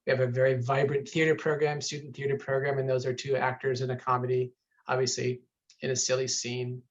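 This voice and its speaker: A man's voice: medium pitch, monotone, fairly quick, muffled recording. A man presenting a university webinar over a video call, chatty and upbeat with some fillers.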